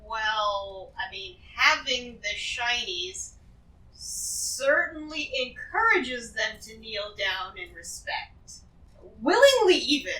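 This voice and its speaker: A woman's voice: fairly high pitch, very animated, slow, sharp tone, slight background noise, exaggerated voice. A woman playing a greedy, shrewd treasure-hoarder in a fantasy role-play, rambling and emphatic as she justifies herself. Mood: reasoning, persuasive. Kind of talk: improvised tabletop fantasy role-play acting. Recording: echoey room, distant from the mic.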